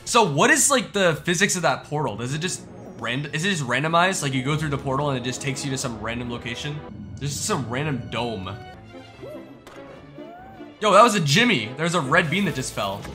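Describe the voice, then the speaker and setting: A young man giving casual reaction commentary as a gaming YouTuber, informal and slangy, thinking out loud as he goes. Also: fairly high pitch, animated, fairly quick, faint music behind.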